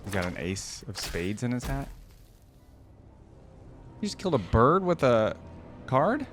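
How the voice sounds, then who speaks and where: A man's voice: medium pitch, very animated, unhurried, a bit bright, faint music behind. A man hosting a reaction video, talking casually to viewers, musing aloud and reading game text.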